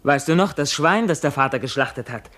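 An actor playing a tormented, bewildered young prisoner in a radio play, speaking in a halting, declamatory way. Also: male voice, mid-range voice, animated, fairly bright tone, natural voice. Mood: troubled questioning. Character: tormented imprisoned young man, feverish, bewildered, brooding. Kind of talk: classic German radio play acting.

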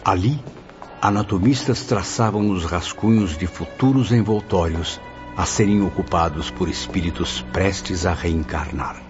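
An actor playing a sorrowful male spirit apprentice who recounts his memories in a Brazilian radio drama, solemn and literary, with a Brazilian accent. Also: low voice. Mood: solemn, reflective. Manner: solemn, measured literary diction. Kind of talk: Brazilian radio drama first-person narration. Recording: muffled audio under prominent music.

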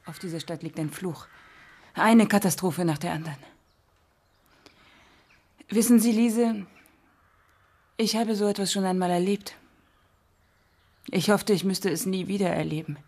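An actress playing a sombre, haunted mother in a radio drama, husky, weary and brooding. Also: medium pitch, a little slow, long pauses, darkish tone.

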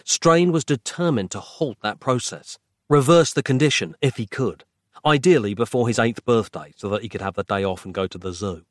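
A man's voice: mid-range voice, normal speed, no echo, clean studio sound, natural voice. A man voicing a grave, self-important male writer hero in a thriller audiobook, with a drawling, grim delivery. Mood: earnest, pompous.

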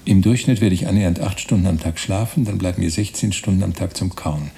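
A pedantic writer in a radio play, laying out his reasoning deliberately. The voice is deep and mellow with a dry creak. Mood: pedantic, earnest. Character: pedantic male writer reasoning through absurd sums. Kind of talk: German radio play monologue.

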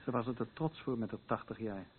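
A man's voice: medium pitch, fast talker, breathy edge, close to an everyday voice. Een acteur die in een hoorspel een nuchtere, directe man speelt, de vertrouweling van een vrouw, kort en zonder omwegen. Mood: matter-of-fact. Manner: plain-spoken, terse. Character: blunt, down-to-earth man, the troubled woman's confidant. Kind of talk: radio play acting. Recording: muffled narrow phone-like sound.

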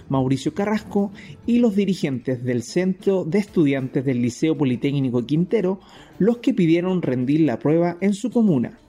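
A man's voice: fairly high pitch, dark tone. A man narrating a scripted news report.

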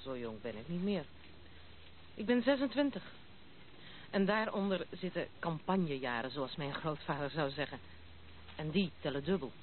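A woman's voice: sits low, lively intonation, normal speed, long pauses. Blunt young noblewoman, tinny noisy recording. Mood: proud, mocking. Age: 20s. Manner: brusque, outspoken, mocking. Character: blunt, proud, unconventional young noblewoman of twenty-six. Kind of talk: Dutch radio play acting. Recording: tinny band-limited old radio play recording, noisy.

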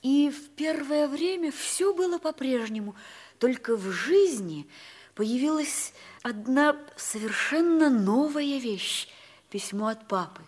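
A woman's voice: high voice, expressive, slow pace, exaggerated voice. An actress playing a sincere, eager schoolgirl in a mid-century radio play, speaking with earnest, breathless emphasis. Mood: wistful. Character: sincere, eager schoolgirl remembering her lost father.

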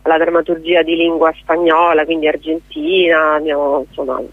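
A woman's voice: low voice, level tone, normal speed, no echo. A woman in her forties chatting casually as an interview guest, self-deprecating and joking, with a digressive way of talking. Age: 40s. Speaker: theatre playwright, director and actress, interview guest. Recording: band-limited, telephone-like radio sound.

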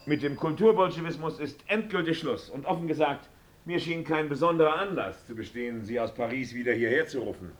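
An actor playing a cold, vindictive theatre director in a radio drama, speaking in a stiff, formal, condescending way. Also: male voice, on the high side, a little fast, slight background noise, lightly acted. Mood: icy, dismissive. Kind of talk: German radio drama acting.

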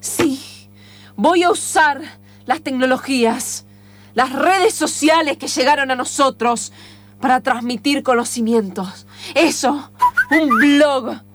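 High-voiced Argentine dreamer, an idealistic young woman acted by a woman. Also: takes it slowly, slight background noise. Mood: earnest, inspired. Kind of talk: improvised radio theatre.

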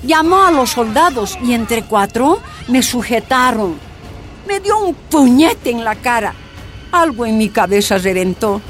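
A woman's voice: fairly high pitch, very animated, measured pace, fairly bright tone, faint music behind. An actress playing a tortured woman activist, recounting her imprisonment in anguished, emphatic first-person narration. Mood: pained.

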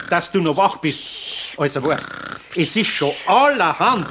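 A man's voice: on the high side, big pitch swings, a little slow, fairly bright tone, a little hiss or hum. An actor playing a good-natured older master craftsman with a Bavarian accent in a children's radio play, hesitant and easily flustered. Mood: scolding.

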